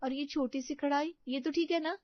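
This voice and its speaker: A woman's voice: high-pitched, steady tone, moderate pace, dry recording, highs cut off, pitched above the performer's own voice, lightly acted. A woman voicing a meek young daughter-in-law who answers politely and eagerly.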